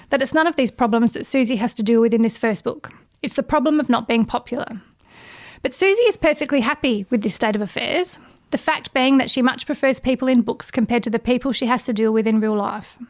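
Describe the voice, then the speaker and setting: Aussie woman, highish voice, doing a scripted kids book review segment, explains it step by step. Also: fairly quick. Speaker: book reviewer recommending children's books. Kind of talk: prepared book recommendation segment.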